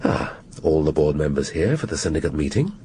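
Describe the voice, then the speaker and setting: An actor playing a shrewd, sardonic middle-aged British police inspector in a radio drama, speaking deliberately and with relish. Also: male voice, a bass voice, very animated, dark tone, slight background noise. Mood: pleased, scheming. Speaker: police detective.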